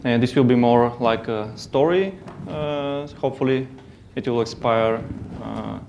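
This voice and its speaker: A man's voice: mid-range voice, a little slow, creaky, a little mellow, muffled recording, a little hiss or hum. A man with a Bulgarian accent giving a technical conference talk, hesitant, with many fillers and restarts. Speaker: conference speaker. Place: conference hall.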